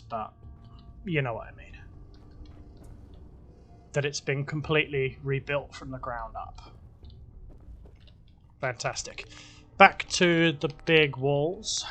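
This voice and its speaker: A man giving relaxed, casual gameplay commentary, talking to himself as he builds, his voice a little croaky. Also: mid-range voice, slow, long pauses. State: slightly croaky voice. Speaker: gaming video host.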